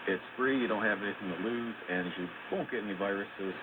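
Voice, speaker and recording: A man with a deep voice presents a radio segment in an informal, conversational way. He explains things casually, as a hobby presenter would. The sound is a muffled shortwave reception with very heavy noise throughout. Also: a little fast.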